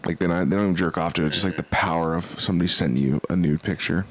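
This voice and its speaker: A man's voice: deep voice, racing. Chatty self-mocking man in his twenties. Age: 20s. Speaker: podcast co-host. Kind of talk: casual comedic banter.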